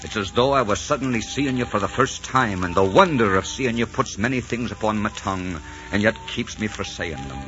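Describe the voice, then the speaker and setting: An actor playing a bold, ardent Scottish lord in a theatrical, romantic 1940s radio drama style. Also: male voice, on the low side, brisk, hardly any pauses, fairly bright tone, faint music behind, natural voice. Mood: tender. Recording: dull 1940s radio broadcast recording.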